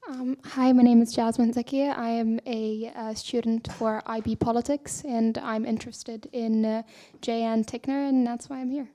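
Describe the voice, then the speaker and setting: Young woman student, highish mellow voice, hesitant intro. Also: flat delivery, moderate pace. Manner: hesitant, with fillers. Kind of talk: seminar self-introduction.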